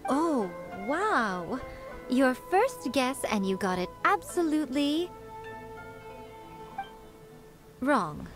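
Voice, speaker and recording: A woman playing a sly, elegant fox priestess and editor in a video game, speaking in a measured, teasing, self-assured way. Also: sits high, big pitch swings, heavily acted. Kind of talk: scripted video game dialogue. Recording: music behind the voice.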